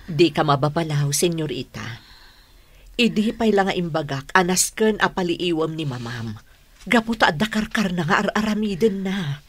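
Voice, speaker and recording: A woman's voice: fairly low pitch, expressive, moderate pace, no echo. A woman acting a loyal, confiding household maid in a radio drama, with an Ilocano accent.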